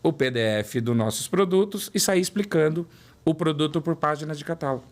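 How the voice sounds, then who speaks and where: A man's voice: a little high, brisk. Paulistano man telling stories with emphasis. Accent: São Paulo Portuguese. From São Paulo, Brazil. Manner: storytelling, repeats words for emphasis. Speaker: guest, product development director. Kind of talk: interview conversation.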